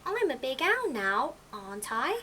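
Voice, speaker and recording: A woman puts on a young boy owl from a storybook, pitched very high and full of lively swoops. He sounds childlike, eager and a little timid. Faint noise sits behind the voice. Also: a little slow, exaggerated voice. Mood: eager, excited.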